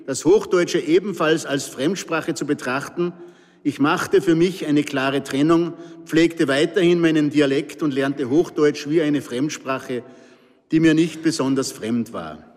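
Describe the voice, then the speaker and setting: Austrian man reading his memoir. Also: fairly high pitch. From Pinzgau, Austria. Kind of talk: scripted narration. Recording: long reverb.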